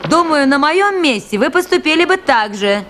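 A woman's voice: sits high, expressive, normal speed, fairly bright tone, a little hiss or hum. An actress playing a composed, resolute woman in charge of a river station, firm and businesslike, in a Soviet radio drama. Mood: composed, determined. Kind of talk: Soviet radio play acting.

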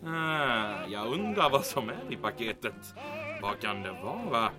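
An actor playing a vain, excitable German army major in an audio drama, pompous and gloating as he talks to himself. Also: on the low side, music in the background. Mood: awed, curious.

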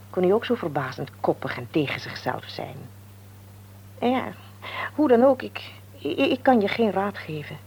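An older mother in a radio drama, her voice breathy and husky. She is gentle and devout, picking her words haltingly and earnestly as she gives counsel. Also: mid-range voice.

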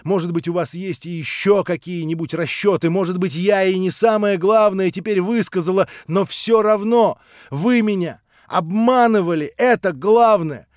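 A man's voice: high-pitched, moderate pace, a little mellow, no room sound, close to an everyday voice. A proud young woman hurls her accusations in a lifted, impassioned voice, as a male reader puts her on. The sound is dry and close, like a storybook reading. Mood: accusing. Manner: impassioned, rising to outraged cries. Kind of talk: audiobook reading.

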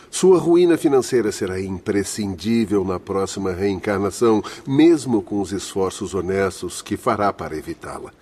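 A man's voice: low voice, darkish tone, slightly rough. A man with a Brazilian accent playing a learned, kindly spirit doctor in a radio drama, explaining things formally. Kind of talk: Portuguese-language radio drama acting.

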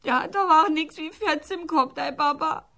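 A woman's voice: sits high, normal speed, pushed and bright. An actress playing a worried, plain-spoken small-town mother, speaking Saarland dialect in a radio drama. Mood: tearful comforting. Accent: Saarland German.

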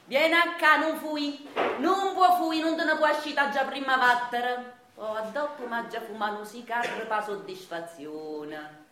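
Radio play casting: a bossy, brawling Neapolitan washerwoman, high voice, scolding and mocking, recorded in a roomy echo. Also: a little slow, fairly bright tone. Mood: scornful, threatening. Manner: brash, scolding, mocking, breaking into laughter. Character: fierce domineering Neapolitan washerwoman matriarch who beats her husband. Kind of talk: theatrical radio drama monologue in dialect.

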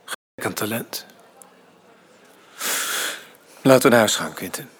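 Husky, low-voiced middle-aged father. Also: expressive, quick pace. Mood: subdued. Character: weary, learned middle-aged father, slightly awkward. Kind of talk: radio drama acting.

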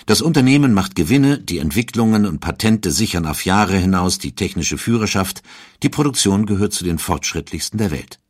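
A man reads a historical documentary script in a calm, measured way. His voice is very low and somewhat husky, recorded dry and close. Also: no echo. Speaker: narrator.

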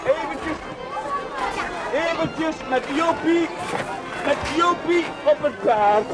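A man's voice: extremely high pitch, takes it slowly, over a noisy crowd. An actor playing a good-natured man humouring a small child in a seventies television drama. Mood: good-natured, flustered. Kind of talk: 1970s television drama acting. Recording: loud music and outdoor bustle.

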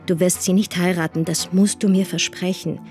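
An actress playing a devoted, despairing woman in love, speaking a farewell letter in a breathy, intimate voice for a radio play. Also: on the low side, level tone, normal speed, over music, lightly acted. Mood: anxious, pleading. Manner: intimate, pleading.

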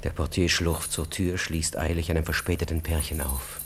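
A man narrating a radio drama in a terse, intense, dramatic style, hushed at times. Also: extremely low pitch, steady tone, normal speed, slight background noise. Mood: brooding, suspenseful. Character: tense storyteller of an officer's ordeal. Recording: music behind the voice.

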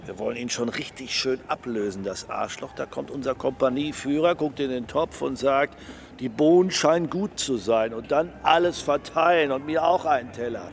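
A man playing a gruff, chummy police inspector and war veteran in a radio drama, hearty and coarse like a storyteller. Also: medium pitch, normal speed, lightly acted. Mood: chummy storytelling. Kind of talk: German radio drama acting. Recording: clear outdoor street noise.

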